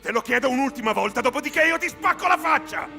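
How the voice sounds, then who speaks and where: A man's voice: extremely high pitch, expressive, racing, creaky, over music, full character voice. A man playing a volatile, threatening Italian thug of an interrogator in a cinematic game, speaking aggressively.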